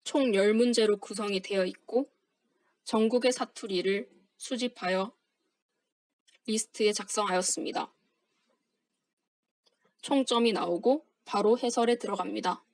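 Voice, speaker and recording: Young female student presenter, steady formal read, dry close voice-over, middle pitch. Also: unhurried, pauses often, no room sound. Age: young adult. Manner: formal, steady, reading from a script. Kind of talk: read-aloud project presentation.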